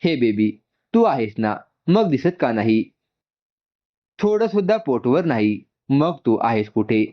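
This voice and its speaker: A man's voice: medium pitch, big pitch swings, measured pace, pauses often, lo-fi sound. A man voicing a young pregnant woman in a story, cooing and playfully scolding as she talks to herself. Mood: puzzled, affectionate.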